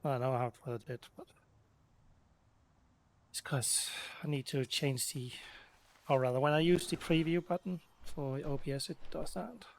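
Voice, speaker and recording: A man giving casual, unhurried and easygoing let's play commentary while gaming. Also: on the high side, fairly even intonation, vocal fry, a little hiss or hum. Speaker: game streamer doing a let's play.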